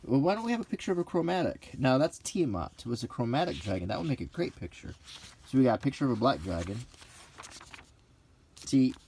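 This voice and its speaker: A middle-aged man rambling casually in a halting, unhurried way as he browses a book, with dry asides. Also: medium pitch, sing-song, creaky, a little mellow, slight background noise. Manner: unhurried, halting, dryly joking. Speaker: hobbyist video presenter.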